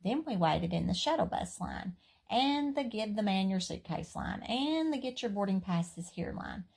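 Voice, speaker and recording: A woman reading a children's story aloud in an expressive, playful, emphatic way. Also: mid-range voice, normal speed, vocal fry.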